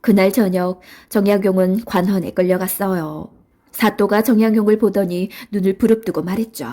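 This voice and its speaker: A woman's voice: mid-range voice, fairly even intonation, moderate pace, warm tone. A woman narrating a children's storybook in a scripted read-aloud style.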